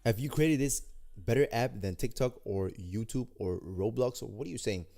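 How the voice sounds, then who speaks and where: A man's voice: on the low side, moderate pace, vocal fry, warm tone, faint music behind. Hyped young man chatting on a livestream. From Denmark. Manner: hyped, slangy, talks to chat, repeats himself. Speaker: livestreamer demoing an app builder. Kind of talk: casual livestream chat with viewers.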